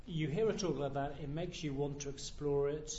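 A man's voice: on the high side, steady tone, warm tone. A man hosting a church talk event who interviews a guest with teasing questions and then reads from the Bible. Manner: teasing, quick questions, stumbles on words. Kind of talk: onstage interview and Bible reading. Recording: far, roomy hall, muffled, faint hiss.

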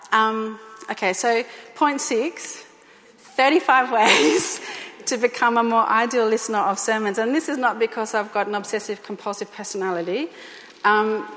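A woman's voice: medium pitch, a bit bright. A woman giving an informal talk to a church group, speaking conversationally with fillers and repeated phrases. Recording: echoey hall.

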